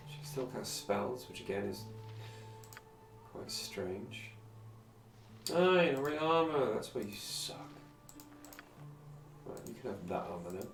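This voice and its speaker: A man with a slightly low voice streams a game, muttering casual, wry commentary to himself. He sounds roomy and a little away from the mic, with clear game music behind him. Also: far from the mic. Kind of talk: casual live gameplay commentary.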